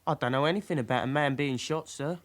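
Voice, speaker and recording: An actor playing a nervous, evasive young lad in a radio drama, guarded and deferential under questioning. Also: male voice, on the high side, fast, natural voice. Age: young adult. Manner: guarded, deferential, repeating "sir".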